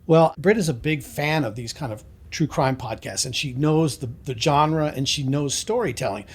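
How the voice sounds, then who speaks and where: A man who is a guest on a podcast, talking about his work in a relaxed and reflective way. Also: on the high side, moderate pace, slightly rough. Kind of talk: casual interview chat.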